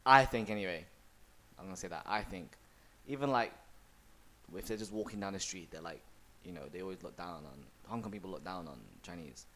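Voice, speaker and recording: A man's voice: deep voice, fairly quick. A young man with a London accent, co-hosting a casual podcast chat and telling stories in slangy, sweary talk. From England. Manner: slangy, swears freely, animated storytelling. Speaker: podcast co-host.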